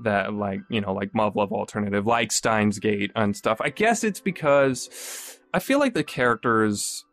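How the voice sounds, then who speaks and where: A man's voice: on the low side, lively intonation, unhurried, gravelly, a bit bright, soft background music. A man hosting a podcast, giving a rambling, casual review full of restarts and self-corrections. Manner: rambling, many restarts and fillers, self-deprecating. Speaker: podcast host reviewing a visual novel.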